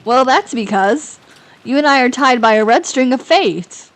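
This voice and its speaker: A woman's voice: high-pitched, lively intonation, measured pace, pitched above the performer's own voice. A woman voicing a kind, friendly schoolgirl who stammers when she is flustered. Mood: light. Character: cheerful kind schoolgirl, rattled and confused.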